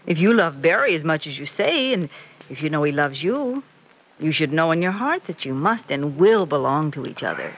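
A woman's voice: deep voice, very animated, moderate pace, exaggerated voice, rough recording. An American actress playing a fond, teasing middle-aged mother in an old-time radio soap opera, with a coaxing, motherly manner. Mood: coaxing, insistent. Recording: vintage radio transcription.

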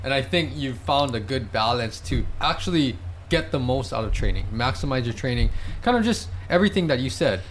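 Guy coach explaining nutrition, calm and steady, faint background noise. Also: medium pitch, fairly quick. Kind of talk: casual conversational interview.

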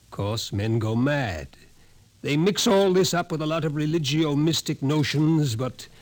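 An actor playing an older psychologist in a radio drama, who speaks in a measured, lecturing and quietly ominous way. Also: male voice, on the high side, lightly acted. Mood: explaining. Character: older male psychologist, calm, knowing, ominous. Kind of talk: 1950s radio drama acting.

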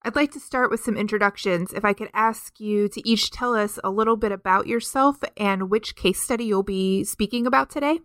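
A woman hosts a book interview podcast, reading introductions and asking questions in a clear, composed voice. The sound is dry and close. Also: mid-range voice, fairly quick, few pauses, fairly bright tone, no echo. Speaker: podcast host. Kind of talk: scripted interview hosting.

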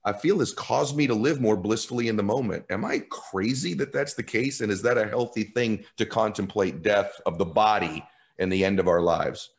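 Lower-pitched male interviewer in his fifties. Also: normal speed. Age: 50s. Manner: earnest, effusive, personal reflection. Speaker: interview host. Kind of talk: conversational interview.

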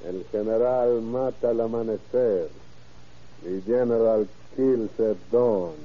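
A sly, menacing general from a vintage radio crime serial. He savors each word with a rough, husky voice. The sound is narrow and tinny, like an old broadcast. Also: fairly low pitch, a little slow. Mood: gloating. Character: gloating old-time Mexican bandit general, sly and menacing. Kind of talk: 1930s radio crime drama acting.

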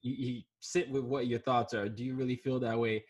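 A man's voice: mid-range voice, level tone, brisk, a little mellow, muffled recording, a little hiss or hum. A man from Nigeria, an author and guest, talking earnestly and reflectively in a conversation and often repeating words as he starts a thought. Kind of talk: conversational interview.

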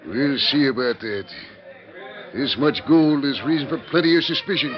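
An actor playing a gruff, suspicious sheriff who talks bossily in a classic radio Western. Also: male voice, mid-range voice, lively intonation, moderate pace, soft background music, lightly acted. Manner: bossy, interrogating. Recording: dull, lo-fi old radio recording.

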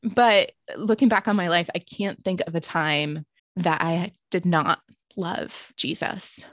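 Earnest woman, low voice, dry sound. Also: fairly even intonation, takes it slowly, no room sound. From born in Greece, raised in the United States and Romania. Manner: earnest, reflective, with soft laughs. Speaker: guest, ministry leader and author. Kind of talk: casual interview conversation.

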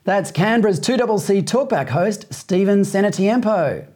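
A man's voice: high voice, expressive, moderate pace, darkish tone, slight background noise. A man with an Australian accent hosting a talk show, chatting with a guest and then reading news commentary. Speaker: host.

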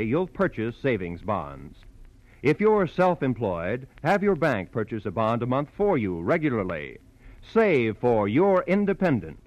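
A male announcer in the classic 1940s radio manner, mid-pitched and formal. His intonation rises and falls broadly over measured, scripted phrases, with a dry, close sound. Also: no echo.

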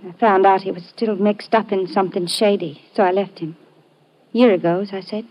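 An actress playing a guarded working-class British barmaid who answers tersely, in an old radio detective drama. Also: female voice, mid-range voice, steady tone, a little fast, darkish tone, natural voice. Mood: subdued, guarded. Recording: muffled old radio recording.

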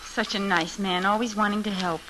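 An actress playing a witty, nervous, devoted young wife of a sleuth in an old radio mystery. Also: female voice, mid-range voice, fairly even intonation, a little fast, a bit bright, natural voice. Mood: wry. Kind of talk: 1940s radio mystery acting. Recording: noisy old radio broadcast.

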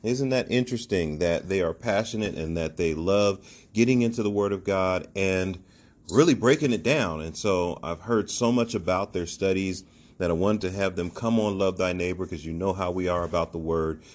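A man's voice: deep voice, normal speed. A man hosting a casual podcast interview, upbeat, laughing often and repeating words as he reacts. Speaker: podcast host.

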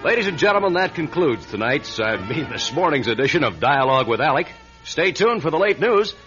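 An actor playing a flamboyant, self-absorbed late-night talk host in a radio drama, with a theatrical, grandiose manner. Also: male voice, a little high, big pitch swings, a little fast, a bit bright, dull audio, faint music behind. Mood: showy. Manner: theatrical, mock-gallant and grandiose.

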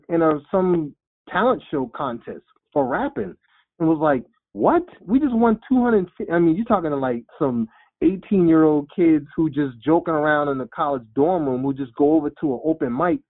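A man in his forties telling his life story in an interview, animated and quoting himself in lively voices. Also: on the high side. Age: 40s. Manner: animated storyteller, acts out quotes. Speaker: guest, rapper. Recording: phone-like lo-fi sound.

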